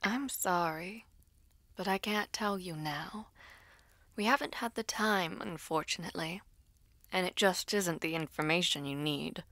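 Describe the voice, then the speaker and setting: A woman voices a mysterious female being, poised and secretive. She speaks slowly and formally, slipping into a breathy half-whisper with a coy, teasing edge. The audio roleplay sounds close and dry. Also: fairly low pitch, a bit bright, no room sound. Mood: composed, teasing. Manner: slow, composed, formal, coy. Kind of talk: audio roleplay acting, addressing the listener.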